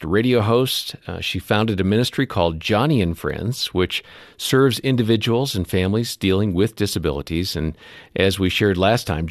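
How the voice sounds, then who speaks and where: A man co-hosting a radio program, delivering introductions in a smooth, polished announcer manner. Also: lowish voice, no room sound, studio quality. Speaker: co-host and announcer.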